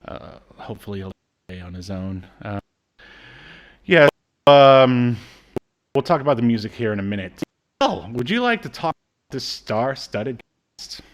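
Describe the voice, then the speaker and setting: A man's voice: medium pitch, sing-song, measured pace, long pauses. Man casually reviewing, hesitant and rambling. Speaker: podcast co-host. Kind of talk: casual media review chat. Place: small room at home. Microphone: video call setup.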